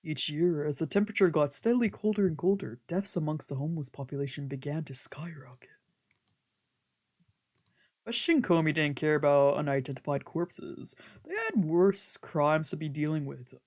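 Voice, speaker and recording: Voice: mid-range voice, animated, moderate pace, darkish tone. A streamer reading a visual novel's narration aloud, occasionally stumbling over a word. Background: background music from a video game.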